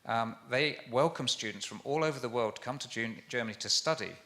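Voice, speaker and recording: A man's voice: mid-range voice, brisk, sharp tone. A man answering audience questions on a conference panel, earnest, with frequent restarts. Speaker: university panelist.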